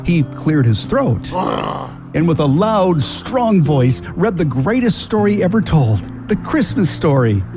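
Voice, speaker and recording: A man's voice: on the high side, sing-song, measured pace. A man narrating a scripted children's story as the narrator of a radio drama. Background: guitar music.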